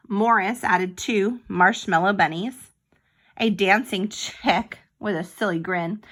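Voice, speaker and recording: A woman with a bright, forward voice and a middle pitch reads a children's story aloud. She sounds like a teacher, telling it expressively and pausing for little explanations to her young listeners. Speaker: teacher reading a picture book aloud.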